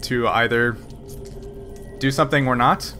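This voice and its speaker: Male game master, halting and filler-heavy, music bed under. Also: mid-range voice, sharp tone. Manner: halting, filler-heavy narration with frequent restarts. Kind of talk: narrating a tabletop game.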